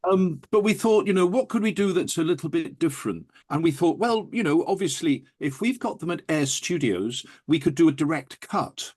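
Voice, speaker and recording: A man's voice: fairly high pitch, expressive, moderate pace, few pauses, a little mellow, husky. A man talking enthusiastically and telling anecdotes as an interview guest. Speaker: interview guest, audiophile recording producer. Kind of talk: animated anecdotal interview talk.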